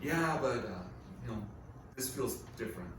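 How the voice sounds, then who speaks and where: A man's voice: medium pitch, animated, moderate pace, natural voice. A man acting a wisecracking, needy amateur comedian and bar owner in a comedic table read. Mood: rueful, joking. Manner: jokey, defensive banter. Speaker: actor in a live table read. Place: live performance venue. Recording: distant, echoey, noisy live-venue recording.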